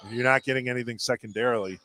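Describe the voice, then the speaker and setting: A man of medium pitch works as color commentator on a live basketball radio broadcast, with clear noise in the background. He offers casual, brief analysis of the play. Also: steady tone, rapid-fire. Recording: noisy live gym broadcast.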